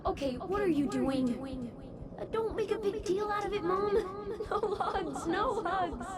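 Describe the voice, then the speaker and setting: An actress playing an affectionate, easily embarrassed young daughter in a video game, casual and natural. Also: female voice, extremely high pitch, measured pace, a loud crowd. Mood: bashful, playful. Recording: crowded, noisy ambience around voice.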